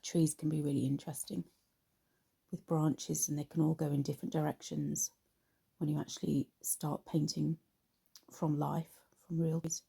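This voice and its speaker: A woman hosting an art tutorial, narrating in an unhurried, informal way, her voice slightly croaky after a cold. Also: low-pitched, flat delivery, dark tone. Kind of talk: relaxed painting tutorial narration.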